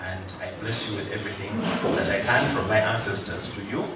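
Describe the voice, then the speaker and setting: A man's voice: lowish voice, steady tone, fast. A man delivering a motivational graduation speech to an audience, rousing and joking, drawing responses from the crowd. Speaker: guest speaker. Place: large hall at a ceremony. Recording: echoey hall, mic far off, background noise.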